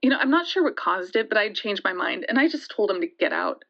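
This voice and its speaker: A woman playing a shaken young woman who recounts a frightening night in an audio drama, halting and conversational, with hesitations and restarts. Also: mid-range voice, very animated, creaky, bright, forceful tone, heavily acted. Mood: tense, hesitant. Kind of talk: first-person dramatic storytelling in an audio drama. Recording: dull, lo-fi sound.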